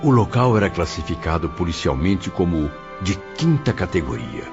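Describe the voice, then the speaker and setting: A man's voice: sits low, sing-song, moderate pace, exaggerated voice. A man playing a solemn spirit narrator confiding a grim tale, in a theatrical audio drama manner. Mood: grave disgust. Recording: dull audio with clear background music.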